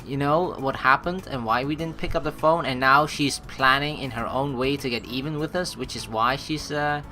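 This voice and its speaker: Lady lets play streamer, low voice, super expressive, reads the game out n reacts, soft music behind. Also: normal speed. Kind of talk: game playthrough, reading aloud and commenting.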